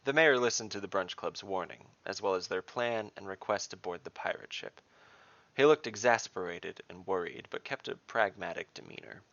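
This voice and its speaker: Guy reading a fantasy story, crisp forceful delivery, gravelly, dry slightly dull recording. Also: low voice, normal speed, no echo. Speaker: narrator. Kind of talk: scripted fantasy story narration.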